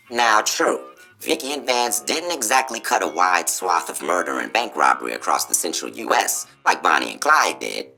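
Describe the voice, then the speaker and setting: Boastful cowboy robot from a game, gravelly american drawl, bright and punchy, hammy as heck. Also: male voice, on the low side, exaggerated voice, low-quality recording. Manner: folksy, showy, exclamatory. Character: folksy cowboy robot, boastful casino spokesbot. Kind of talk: theatrical Old West tall-tale patter.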